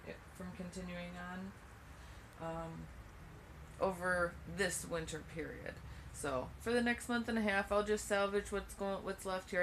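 A woman in her thirties talks through her small plastic greenhouse in a fairly low voice, rambling and matter-of-fact, with plenty of ums and restarts. The sound comes from a phone held at arm's length. A faint background hum sits under her voice. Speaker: homesteading vlogger. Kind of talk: casual walkthrough vlog. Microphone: handheld phone camera at arm's length.

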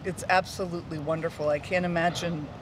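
A woman's voice: lowish voice, fairly even intonation, a little fast. A woman over sixty being interviewed casually, speaking emphatically and repeating her words. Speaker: interviewee, amateur rider. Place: outdoors at a rest stop. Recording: faint outdoor urban noise.

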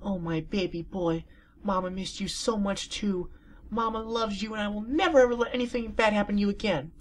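A man voicing a gentle mother pony mare in a soft, hushed, high voice as he reads fan fiction aloud. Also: brisk, slight background noise, lightly acted. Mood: tender.

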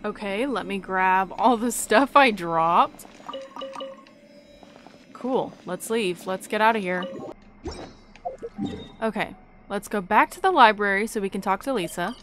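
Young female gaming host, relaxed conversational delivery, average pitch, light music behind the voice. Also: measured pace, pauses often. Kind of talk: casual chat over gameplay.

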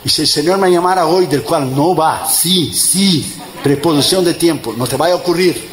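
A man in his fifties, an evangelist preaching a sermon, tired, emphatic, mixing jokes with loud rising exhortation. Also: a little high, moderate pace. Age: 50s. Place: church in front of a congregation. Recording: echoey church with crowd and noise.

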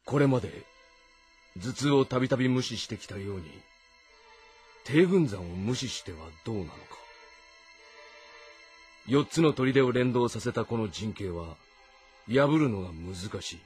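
A man's voice: medium pitch, lively intonation, measured pace, long pauses, a little mellow, faint music behind, lightly acted. A man playing a calculating ancient Chinese warlord in a historical game, brooding and authoritative in delivery. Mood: calculating, resolved. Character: commanding, calculating male warlord of ancient China. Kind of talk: dramatized historical game monologue.

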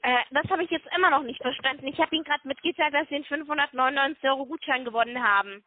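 A woman working as a telemarketer, speaking in a formal, brisk, scripted way on a sales call. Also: high voice, no echo. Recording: dry narrow phone line.

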